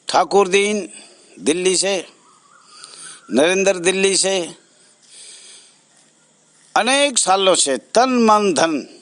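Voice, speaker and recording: A man giving a direct address to supporters as an association president, earnest, halting and repetitive, pausing between phrases. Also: sits high, dry recording.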